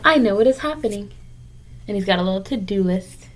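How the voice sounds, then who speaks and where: A woman's voice: medium pitch, animated, fairly quick. A woman teacher reading a picture book aloud to young children, explaining and encouraging them in simple, direct words.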